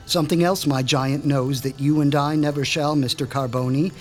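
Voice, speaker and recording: A man's voice: a little high, steady tone, soft background music, natural voice. A man playing a menacing mad doctor. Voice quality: measured, menacing, pompous. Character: man, a sinister mad scientist doctor seeking revenge. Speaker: Dr. Stein. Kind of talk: radio drama acting.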